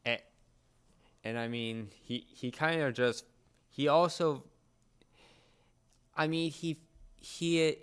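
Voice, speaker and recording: A young man co-hosting a podcast in casual chat, often halting as he searches for words. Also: on the high side, fairly bright tone, clean tone. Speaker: podcast co-host. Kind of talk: casual chat about entertainment.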